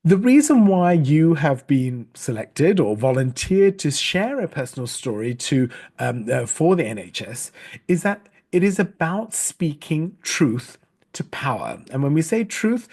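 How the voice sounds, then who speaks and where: A middle-aged man from Sri Lanka, giving a recorded training talk as a storytelling coach, earnest and measured, with frequent fillers and restarts. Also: on the high side, very animated, a little mellow. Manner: spells out words letter by letter.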